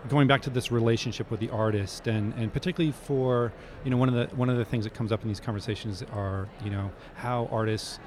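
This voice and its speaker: A man's voice: mid-range voice, fairly even intonation, fast talker, hardly any pauses, crowd noise. A man who is an artist, interviewing a guest with loose, rambling questions full of fillers. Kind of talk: casual interview.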